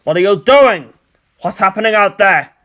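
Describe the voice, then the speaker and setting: Story role for a man: stern army general, unsettled, demanding, snarling, animated pitch. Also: moderate pace. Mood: angry demanding.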